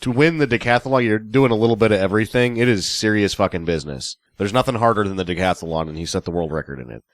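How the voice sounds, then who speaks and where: A man co-hosting a movie podcast with wry, deadpan jokes. His voice sits low. The recording is dry and close. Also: quick pace, no room sound. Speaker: podcast co-host. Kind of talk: casual movie review chat.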